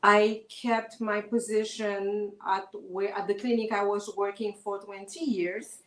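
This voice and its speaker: A woman in her fifties from Haiti, a Haitian Creole speaker, talks about herself as an interview guest. She is warm and a touch nervous, pausing often with ums. Her voice sits mid-range in a roomy, echoey space. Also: mid-range voice, level tone. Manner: warm, a little nervous, many pauses with ums. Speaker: guest, physician. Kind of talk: interview, personal introduction.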